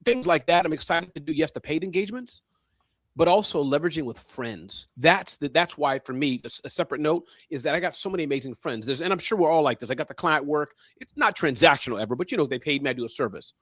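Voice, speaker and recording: A man in his fifties chatting casually as a panelist, with an animated, emphatic way of talking. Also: a little high, quick pace, no echo. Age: 50s. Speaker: panelist on a small business show. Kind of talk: casual chat.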